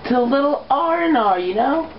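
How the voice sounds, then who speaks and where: A woman's voice: high voice, animated, normal speed, pitched above the performer's own voice. A woman voicing a brash young female pegasus who blusters and falters, in an amateur fan fiction reading. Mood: blustering unease. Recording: muffled, noisy home recording.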